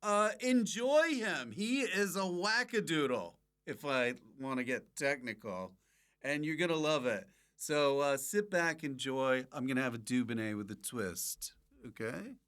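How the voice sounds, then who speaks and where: A man hosting a podcast in casual comic chat, with a deadpan, offbeat manner. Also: fairly high pitch, measured pace, clear, resonant tone. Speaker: podcast host.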